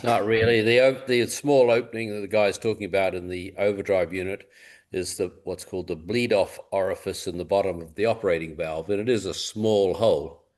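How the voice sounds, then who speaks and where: A man with a distinctly low voice talks through technical advice. He sounds like a practical, experienced rebuilder speaking on a group call. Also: fairly even intonation, brisk. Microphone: conference call.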